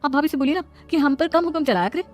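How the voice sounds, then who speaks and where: Woman playing a defiant high-voiced young sister. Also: lively intonation, quick pace. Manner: defiant, argumentative. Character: outspoken, indignant young unmarried sister. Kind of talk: animated moral-story cartoon voicing.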